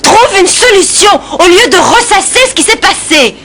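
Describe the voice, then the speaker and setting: A woman's voice: extremely high pitch, lively intonation, moderate pace, very noisy. A woman playing a headstrong, impatient young woman in a French cartoon dub. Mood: impatient, defiant.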